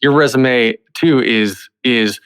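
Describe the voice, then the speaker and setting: A man's voice: medium pitch, takes it slowly, a bit bright, smooth. A man hosting a conversational podcast interview, talking in an effusive, wordy way.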